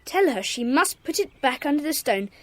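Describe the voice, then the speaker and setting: Bossy, high-voiced schoolboy leader. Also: female voice, sing-song, moderate pace, fairly bright tone. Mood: assured. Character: bossy, sensible schoolboy leader of a secret club. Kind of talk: children's audio drama acting.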